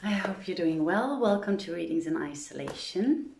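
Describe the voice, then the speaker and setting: A woman's voice: on the low side, animated, moderate pace, gravelly, darkish tone, roomy sound, slight background noise. A woman hosting an online story reading, speaking to listeners and reading literary tales aloud. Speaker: reader of stories to an online audience.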